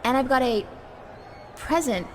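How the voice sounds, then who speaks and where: High-voiced, scheming seductress. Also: female voice, expressive, measured pace, a little mellow. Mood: seductive coaxing. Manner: coaxing, sugary, impatient when crossed. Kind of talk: scripted video game cutscene acting. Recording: clear ambient noise behind voice.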